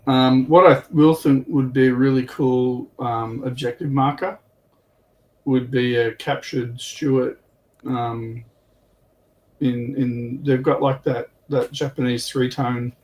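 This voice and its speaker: A man's voice: mid-range voice, steady tone, slow pace, darkish tone, rough recording. A man speaking casually as a panelist on a hobby livestream.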